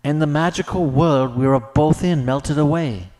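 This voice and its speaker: A man voicing an earnest, lovesick young gentleman in an audiobook, with naive, theatrical, old-fashioned phrasing. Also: a little high, moderate pace, faint music behind, pitched above the performer's own voice, natural voice. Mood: sad, bewildered. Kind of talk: audiobook character dialogue.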